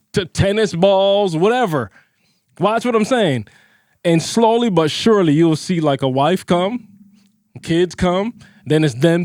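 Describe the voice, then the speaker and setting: A man from Barbados tells a story in a podcast studio and keeps urging his listeners to watch where it goes. His voice sits a touch high. The recording is close and dry. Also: a little mellow, no echo. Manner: emphatic, repeats "watch what I'm saying". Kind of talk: casual storytelling chat. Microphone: studio mic on boom arm, headphones.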